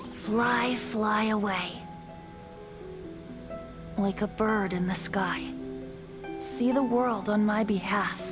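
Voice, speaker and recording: A woman's voice: mid-range voice, close to an everyday voice. A woman playing a boyish, ancient wind spirit bard in a video game, a playful, lilting storyteller with a light voice. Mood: tender. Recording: game music behind the voice.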